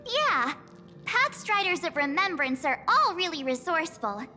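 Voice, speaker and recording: A woman voicing a bubbly, kind-hearted teenage girl adventurer in an anime game, perky and expressive. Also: very high-pitched, measured pace, in a large hall, soft background music, exaggerated voice. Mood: hopeful.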